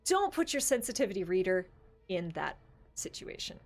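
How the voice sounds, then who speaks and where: A woman's voice: mid-range voice, big pitch swings, normal speed, faint music behind. A woman co-hosting a talk show, speaking casually and emphatically as she argues her opinions. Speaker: podcast co-host. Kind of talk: opinionated discussion, casual chat.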